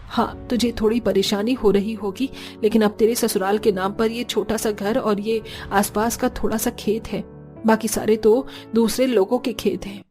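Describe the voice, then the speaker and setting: A woman's voice: mid-range voice, fairly even intonation, normal speed, darkish tone, soft background music, natural voice. A woman voicing a kindly, understanding older village mother-in-law in a cartoon story. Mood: explaining.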